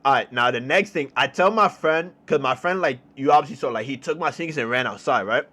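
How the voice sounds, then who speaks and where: A young man with a New York accent telling a story in a casual, slangy, animated way, repeating phrases for emphasis. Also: medium pitch, fast. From New York City, United States. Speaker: storytelling streamer.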